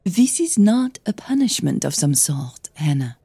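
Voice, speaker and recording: A woman's voice: deep voice, big pitch swings, a little slow, gravelly, dark tone, slightly rough, no echo, full character voice. A woman voicing a composed, wealthy older mother with dry amusement and frankness in an audio drama. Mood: serious, frank.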